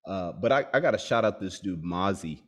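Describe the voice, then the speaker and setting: A man's voice: low-pitched, lively intonation, fairly quick, fairly bright tone. A young man co-hosting a podcast, talking in a reflective, casual way as he searches for his words. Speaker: podcast co-host. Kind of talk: casual chat.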